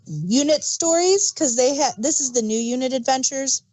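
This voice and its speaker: A woman's voice: sits high, animated, moderate pace, a little mellow, no echo, rough recording. A woman chatting casually as a podcast host, explaining background details like an enthusiastic fan. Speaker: audio drama review podcast host. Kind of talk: casual review chat.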